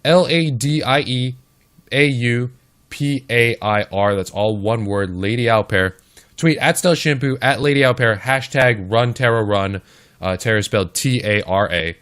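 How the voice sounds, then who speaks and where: A man hosting a live esports stream, casting matches in casual chat and talking straight to the viewers, his voice a little hoarse. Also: mid-range voice, a little slow, a bit bright. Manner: chatty, talks straight to the chat. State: slightly hoarse. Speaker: esports caster and stream host.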